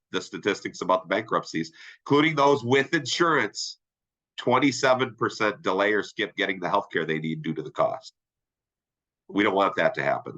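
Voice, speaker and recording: A man's voice: sits low, a bit bright, highs cut off. A middle-aged man with a congested, nasal voice, presenting a sales training webinar in a conversational way and often checking in with his listeners. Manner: upbeat, conversational, asks "right?" often. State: congested, sinus infection.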